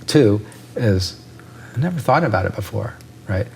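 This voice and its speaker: Expert guest, man, lowish voice, explains patiently with analogies, frequent "right?" checks. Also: lively intonation, moderate pace, vocal fry, a little mellow, a little hiss or hum. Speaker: ear doctor guest. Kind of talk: explanatory interview talk.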